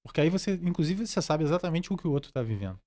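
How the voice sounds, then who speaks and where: A man's voice: a little high, big pitch swings, racing, warm tone. A Brazilian man talking casually and reflectively as a podcast guest, feeling his way through his thoughts. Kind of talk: casual conversational interview.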